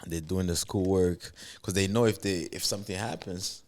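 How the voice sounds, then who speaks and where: A man with a very low, deep voice hosts a casual podcast. He asks questions in a laid-back, chatty manner, laughs often and offers friendly advice. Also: steady tone, normal speed. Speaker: podcast host.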